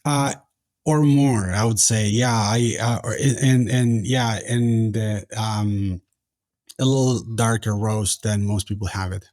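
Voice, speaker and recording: A man's voice: lowish voice, fairly even intonation, slow, a little mellow, no room sound. A man in his forties from Argentina, answering interview questions in a hesitant way with many fillers and restarts. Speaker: podcast guest, company founder.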